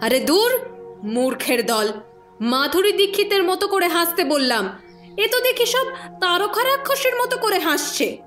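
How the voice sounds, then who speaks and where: A woman's voice: very high voice, sing-song, measured pace, a bit bright, soft background music, exaggerated voice. A woman playing a bossy, exasperated matron of a girls' shelter in a theatrical audio horror story. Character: scolding, scheming older matron of a girls' shelter.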